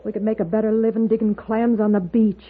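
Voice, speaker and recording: An actress playing a worried, weary frontier wife with an American accent and plain rustic speech in a 1950s radio western. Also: female voice, mid-range voice, little pitch movement, fast talker, narrow, tinny audio, lightly acted. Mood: weary, bitter. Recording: band-limited old radio recording, faint noise.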